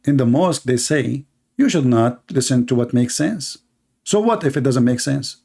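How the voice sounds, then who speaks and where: A man's voice: medium pitch, animated, normal speed, gravelly, warm tone, husky. A man whose first language is Arabic, hosting a live online debate in English with mocking, sarcastic emphasis. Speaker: debate show host.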